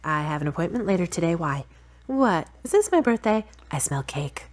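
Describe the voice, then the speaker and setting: An actress playing a self-assured, frank, teasing girlfriend in a radio drama, articulate and measured. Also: on the low side, big pitch swings. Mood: light, teasing. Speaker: actor in an audio drama. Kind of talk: scripted radio-play dialogue.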